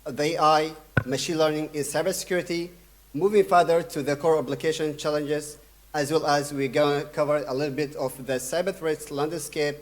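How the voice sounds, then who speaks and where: Young male keynote speaker from Sudan, slightly high voice, hesitant delivery full of fillers. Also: steady tone. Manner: halting, many fillers and restarts. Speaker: conference speaker. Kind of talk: conference keynote talk.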